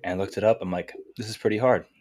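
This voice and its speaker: A man's voice: fairly low pitch, fairly even intonation, racing, a little mellow. An eighteen-year-old college student, a man, chatting hesitantly as a podcast guest with many fillers. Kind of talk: casual podcast chat.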